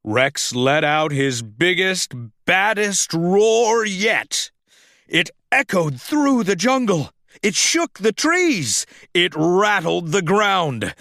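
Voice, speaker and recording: A man narrating a scripted children's story in a dramatic, expressive, deliberate way. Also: sits high, vocal fry, no room sound, studio quality.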